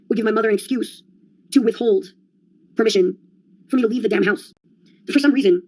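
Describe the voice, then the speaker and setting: A woman's voice: fairly high pitch, rough recording. A young adult woman in a rambling solo monologue, sardonic and profane, jumping from one topic to the next. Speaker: vlogger.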